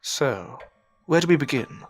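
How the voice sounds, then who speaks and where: A man playing an earnest, anxious upper-class gentleman learning magic in an audio drama, speaking politely and formally with a hesitant stammer. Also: medium pitch, lively intonation, measured pace, husky. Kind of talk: audio drama acting with narrated reflections. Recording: voice over prominent background music.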